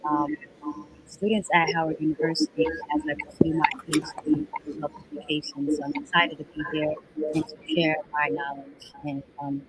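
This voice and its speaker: A woman's voice: low voice, fairly even intonation, a little fast. Woman, public health guest, hesitant delivery, noise audible behind the voice. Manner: hesitant, many fillers. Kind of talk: self-introduction on a webinar panel.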